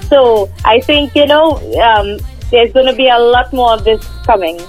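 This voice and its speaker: A woman's voice: on the high side, normal speed, a little mellow, soft background music, poor audio. A woman from Trinidad, a singer guest, chatting in a casual interview and laughing often.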